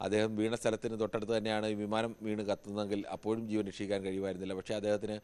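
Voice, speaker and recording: Male news correspondent. Rapid, level delivery, explanatory and often rephrasing. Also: fairly low pitch, monotone, a little mellow, clear, resonant tone. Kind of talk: unscripted live news analysis.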